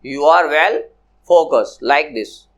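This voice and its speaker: 一位语速缓慢的男老师，讲课时反复问“明白吗”. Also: male voice, on the high side. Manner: slow, repetitive, often asks "Clear?". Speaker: personality development teacher. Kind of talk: classroom lecture to students.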